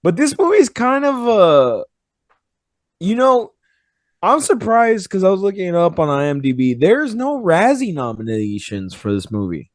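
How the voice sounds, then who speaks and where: A hoarse man hosting a podcast, chatting in a relaxed, rambling way with some swearing. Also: high-pitched, moderate pace, dry recording, clean studio sound. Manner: relaxed, rambling, fond of profanity. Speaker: podcast host. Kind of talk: casual chat.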